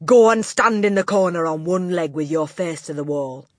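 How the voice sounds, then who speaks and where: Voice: mid-range voice, normal speed, dry recording, studio quality, pitched above the performer's own voice, close to an everyday voice. A storybook narrator voicing a gigantic, tyrannical headmistress who barks and sneers insults with contempt. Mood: scornful, bullying. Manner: barking, bellowing, sneering insults.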